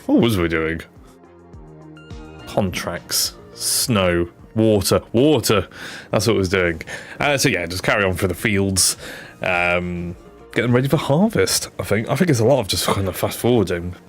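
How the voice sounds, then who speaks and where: A man's voice: sits low, lively intonation, a little slow, soft background music. A young man with a blocked, nasal nose chatting casually on a game stream, rambling with lots of ums and restarts. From Britain. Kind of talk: casual live-stream chat.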